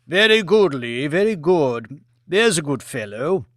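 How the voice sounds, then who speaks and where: A man's voice: a little high, sing-song, a little slow, a bit bright, dry recording, studio quality. A man voicing a patronising aristocratic British lord in an audiobook, exclamatory and falsely jovial.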